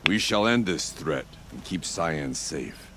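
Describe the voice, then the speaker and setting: Game warrior chief, solemn, deliberate, rumbling very low, faint background noise. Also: male voice, expressive, exaggerated voice. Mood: resolute. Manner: grave, deliberate, formal phrasing. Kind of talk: scripted video game cutscene acting.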